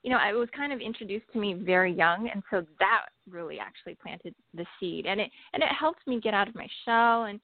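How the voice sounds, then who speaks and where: A woman's voice: mid-range voice, expressive, fairly quick, few pauses, dry recording. Candid woman guest, tinny line. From United States. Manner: candid, laughs while telling stories. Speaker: actress guest. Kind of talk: casual interview.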